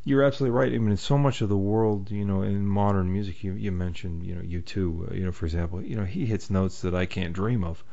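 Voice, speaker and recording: A man's voice: low voice, fast, vocal fry, hardly any pauses, warm tone. A man hosting an interview, chatty and rambling, with many fillers as he talks. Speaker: interview host. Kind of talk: casual interview chat. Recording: muffled lo-fi audio.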